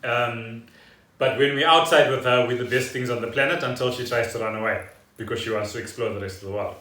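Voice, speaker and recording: A man's voice: mid-range voice, brisk, sharp tone. A South African man chatting casually and loosely as a podcast host. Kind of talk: casual rambling chat. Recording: echoey room, distant microphone.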